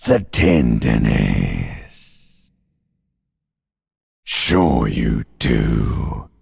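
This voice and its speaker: A man voicing an ancient reptilian brain in a video game, a menacing and seductive inner voice with a guttural, raspy growl, drawn-out and mocking. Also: extremely low pitch, darkish tone, full character voice. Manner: slow, drawn-out, mocking, darkly soothing. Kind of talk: theatrical video game voice acting. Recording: long cavernous reverb.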